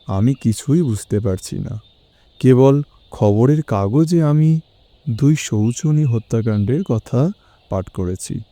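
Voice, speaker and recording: An actor playing a troubled male client in an audio story, recounting his past in measured literary phrases. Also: medium pitch, warm tone. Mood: troubled, bewildered.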